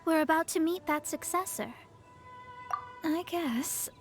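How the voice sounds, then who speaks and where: Proud, high-voiced young astrologer. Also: female voice, takes it slowly, over music. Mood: haughty, instructive. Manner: formal, pompous, lecturing. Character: proud young female astrologer, haughty and learned. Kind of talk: video game voice acting.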